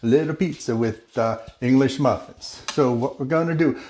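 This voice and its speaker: A man hosting a home cooking video, chatty and relaxed, talking through each step of a simple recipe. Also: medium pitch, normal speed, slight background noise. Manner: relaxed and chatty, slips into a joking mock-Italian accent. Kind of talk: casual cooking demonstration.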